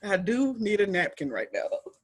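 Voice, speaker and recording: A young woman with a deep voice hosting a podcast, chatting in an animated, emphatic way with playful repetition. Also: moderate pace, breathy edge. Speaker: podcast host. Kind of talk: casual chat.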